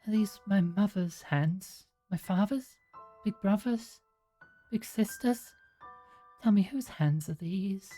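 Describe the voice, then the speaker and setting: A man's voice: high voice, takes it slowly, warm tone, soft background music, voice pushed up, low-quality recording. A man voicing a dying young girl who pleads through tears in a read-aloud story. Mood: joyful. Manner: pleading, tearful. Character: dying young girl, frightened then joyful.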